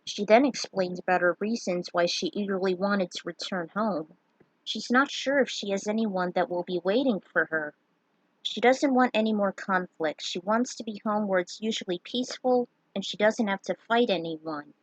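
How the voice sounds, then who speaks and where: A woman's voice: medium pitch, normal speed, dry recording, poor audio. A woman narrating her own fan fiction, with some casual asides in her own words. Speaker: fan fiction writer and reader.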